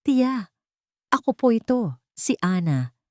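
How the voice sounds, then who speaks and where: A woman's voice: fairly high pitch, big pitch swings, takes it slowly, warm tone, no room sound, pitched above the performer's own voice. Woman acting young Filipina maid and mother, audiobook drama, anguished, deferential, hesitant pleading, dry sound. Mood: restrained, polite.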